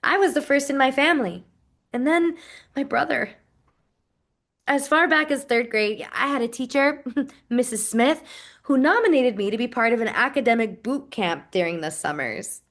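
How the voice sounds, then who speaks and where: A friendly, dedicated program associate played by a woman with a somewhat high voice. She talks in a chatty, enthusiastic way, as if telling her own story in a dramatized interview. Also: normal speed, fairly bright tone. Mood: fond, nostalgic. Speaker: performer portraying a university program associate. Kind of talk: dramatized first-person interview narrative, conversational.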